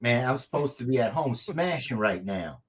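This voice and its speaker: A man's voice: medium pitch, lively intonation, normal speed, husky. A man playing a weary, crude reformed hired killer in a comedic table read, speaking casually and profanely. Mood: exasperated.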